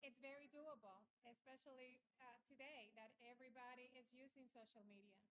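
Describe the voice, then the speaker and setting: Bright-voiced woman from Honduras, high-pitched. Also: steady tone, brisk, a little hiss or hum. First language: Spanish. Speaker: guest. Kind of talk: casual interview chat.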